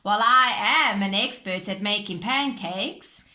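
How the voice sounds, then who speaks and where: A woman's voice: mid-range voice, unhurried, sharp tone, roomy sound. A woman voicing a cheerful, encouraging father pig from a children's storybook, with a hearty manner.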